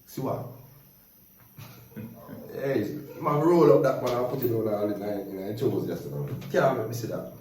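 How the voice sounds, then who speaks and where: A man with a Jamaican accent telling lively, laughing stories in a casual chat. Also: medium pitch, moderate pace, a little hiss or hum. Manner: lively, laughing, animated storytelling in patois. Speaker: guest storyteller. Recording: roomy echo from a distance.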